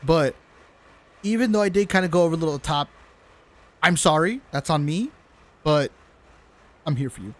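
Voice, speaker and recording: A man's voice: a little high, expressive, normal speed, pauses often. A man streaming a game, casually reacting in slangy speech and talking back to the characters. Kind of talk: casual live reaction commentary. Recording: game music behind the voice.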